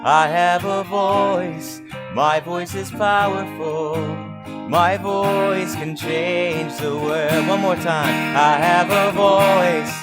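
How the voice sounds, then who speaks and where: Slow-singing song leader with guitar. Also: male voice, high voice, steady tone. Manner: sings slowly and gently, leading call and response. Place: synagogue sanctuary.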